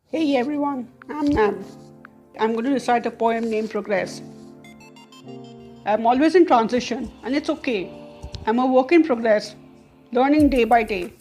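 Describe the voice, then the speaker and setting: Woman reciting a poem, voice a bit high, soft music in the back. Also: moderate pace, long pauses. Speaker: poet reciting own poem. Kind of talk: poetry recitation.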